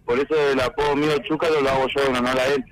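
Young argentine guy, a boxer, chatting informally on radio, medium pitch, repeats himself. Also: level tone, very fast, crowd noise, poor audio. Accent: Argentine, from Patagonia. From Puerto Madryn, Chubut, Argentina. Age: young adult. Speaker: professional boxer, interview guest. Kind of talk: casual radio interview.

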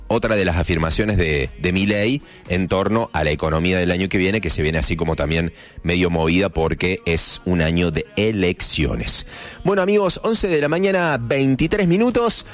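Chatty Argentine radio host, lively voice. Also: male voice, low voice. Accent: Argentine, Córdoba. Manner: chatty, jokey asides, playful mock voices. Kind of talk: casual morning radio chat.

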